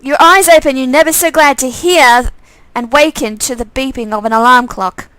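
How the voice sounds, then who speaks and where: A woman's voice: sits high, moderate pace, a bit bright, no echo, poor audio. A woman narrating a visual novel live, reading aloud with casual, self-deprecating asides and correcting herself.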